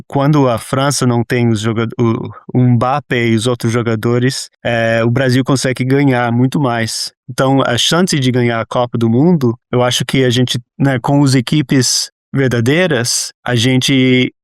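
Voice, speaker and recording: A man's voice: medium pitch, clean tone, no echo, clean studio sound. A man with an American accent speaking Portuguese as a guest researcher, answering interview questions hesitantly with many restarts and fillers. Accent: American English. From Brazil and the United States.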